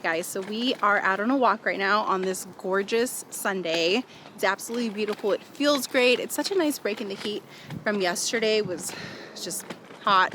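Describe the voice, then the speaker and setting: A woman's voice: mid-range voice, fairly bright tone, slight background noise. A young woman vlogger, chatting casually and rambling, a bit nasal and congested, laughing often. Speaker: vlogger, stay-at-home mom. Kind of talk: casual chat to camera.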